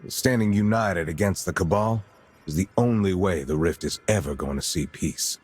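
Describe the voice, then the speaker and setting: Guy doing a grave old-guard lord commander for a game cutscene, really deep, speaks formally. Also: darkish tone, faint music behind, close to an everyday voice. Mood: solemn, earnest. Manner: grave, formal, measured oratory. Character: solemn veteran lord and military commander of a city. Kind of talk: dramatic video game cutscene acting.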